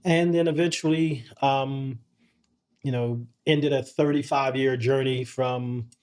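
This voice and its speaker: A middle-aged man, a podcast guest, telling personal stories in an earnest, measured way with frequent restarts. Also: medium pitch, fairly even intonation, darkish tone. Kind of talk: reflective conversational storytelling.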